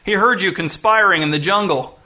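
A man's voice: a little high, brisk, pushed and bright. A man voicing a nosy, cheerful crewman in a story, pressing with questions. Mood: accusing. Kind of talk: storybook character voice.

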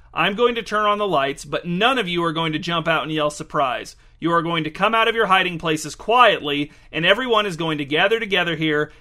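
Guy doing a bossy fed-up woman in an audiobook, raised pitch, sharp and insistent. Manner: emphatic, insistent, clipped commands. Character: exasperated, commanding woman scolding her party guests. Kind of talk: storybook character acting.

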